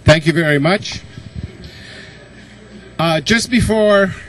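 A man hosting an event, making a polite, measured announcement to an auditorium audience. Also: fairly high pitch, a bit bright, slight background noise, low-quality recording. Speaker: event host.

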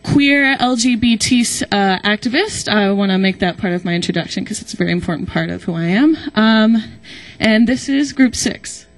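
A woman's voice: medium pitch, moderate pace. A young American woman speaking informally and chattily to an auditorium as she improvises a casual introduction. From Nashville, Tennessee, United States. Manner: chatty, informal, peppered with y'all. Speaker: student presenter introducing a group.

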